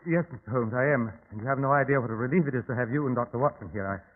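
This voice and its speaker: An actor playing a polite, anxious gentleman of a manor, formal and hesitant, in an old-time radio drama. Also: mid-range voice, racing, natural voice. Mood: anxious, earnest. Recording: tinny, phone-like old radio recording.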